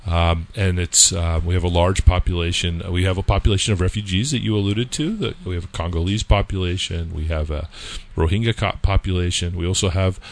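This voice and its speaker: A man hosting a radio interview, speaking in a measured, thoughtful way with occasional fillers. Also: deep voice. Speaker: radio show host.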